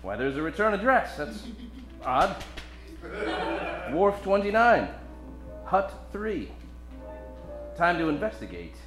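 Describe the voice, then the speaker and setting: A man's voice: high-pitched, very animated, slow pace, pauses often, full character voice. A man playing a cocky, hard-boiled private detective in an old-time radio parody. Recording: roomy stage, music behind.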